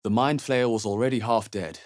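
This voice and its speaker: A man playing a watchful, wry adventurer hero in a fantasy video game, musing in measured asides. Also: fairly low pitch, natural voice. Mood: wary, observant. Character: adventurer hero, male, watchful, wry, muttering observations while exploring. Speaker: player character in a fantasy role-playing game.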